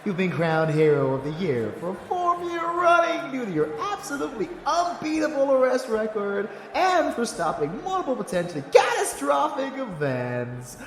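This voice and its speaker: A gushing, flamboyant American gala announcer for a comedy audio drama. The delivery is melodramatic and easily offended, leaping wildly in pitch, with big hall reverb and faint music behind. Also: male voice, heavily acted. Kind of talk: showy award-show announcing.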